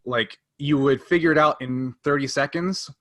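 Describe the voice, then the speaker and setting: A man's voice: a little high, moderate pace, fairly bright tone, dry recording, studio quality. A man talking casually as a podcast host and game master, explaining things in an informal way.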